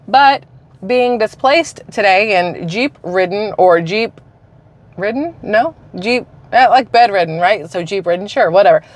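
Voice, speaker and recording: A woman's voice: mid-range voice, animated, a little slow. A woman in her forties vlogging from inside her parked car, telling a rambling, candid story in casual chat. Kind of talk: casual chatty vlog. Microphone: camera mounted on the dashboard.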